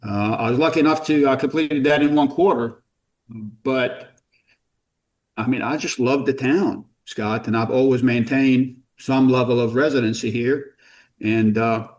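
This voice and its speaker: A man's voice: mid-range voice, fairly even intonation, measured pace, a little mellow. Jordan-born middle-aged man telling stories. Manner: rambling, self-deprecating, repeats words when starting sentences. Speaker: podcast guest, company founder. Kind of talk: casual storytelling interview.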